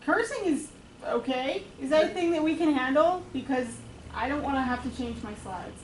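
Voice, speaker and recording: A woman who leads a support group, talking quickly in a group discussion and laughing as she goes. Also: high-pitched, animated. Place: meeting room with a group. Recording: echoey room, noisy.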